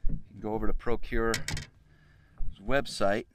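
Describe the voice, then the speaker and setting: A man in his forties chatting casually to camera as a fishing vlogger, laid-back and halting as he thinks aloud, recorded outdoors on a boat. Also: lowish voice, flat delivery. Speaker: fishing vlog host. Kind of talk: casual chat, explaining to camera. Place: open boat deck on a lake, outdoors. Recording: outdoor camera audio with clear boat noise.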